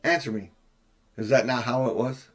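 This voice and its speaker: A man's voice: medium pitch, fairly even intonation, normal speed, fairly bright tone, natural voice. A cold, imperious queen, as an older man reading a storybook puts her on. She speaks with haughty disdain, as if every listener were beneath her. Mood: scornful. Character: haughty cruel queen, tall and commanding.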